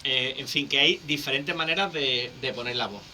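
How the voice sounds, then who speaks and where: A man, a public speaking coach explaining things in a lively, teacherly way as a guest on a radio interview. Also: medium pitch, moderate pace, slight background noise.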